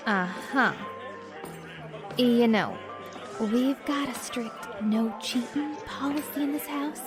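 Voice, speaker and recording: A woman's voice: a little high, takes it slowly. A woman playing a brassy, flirtatious, shrewd Western saloon hostess with an American accent, drawling and sugary, in an audio drama. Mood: knowing, firm. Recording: music and crowd behind voice.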